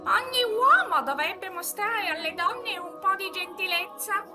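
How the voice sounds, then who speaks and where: A woman's voice: very high voice, animated, measured pace, music in the background. A woman voicing a polite, servile old shopkeeper in a cartoonish voice for a live visual novel reading. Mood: opinionated. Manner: deferential, formal.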